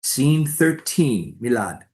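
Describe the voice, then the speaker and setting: A man's voice: medium pitch, sing-song, slow pace, a little mellow. A man reading out scripted stage directions as narrator of a play.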